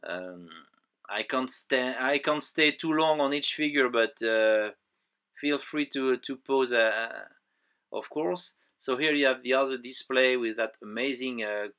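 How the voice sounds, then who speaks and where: A man with a French accent, not speaking his native language, narrating a voice-over for his toy collection vlog with many pauses and fillers. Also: mid-range voice, a bit bright, clean tone. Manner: halting, many fillers and restarts. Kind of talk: voice-over narration of a museum visit. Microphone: voice-over, close mic.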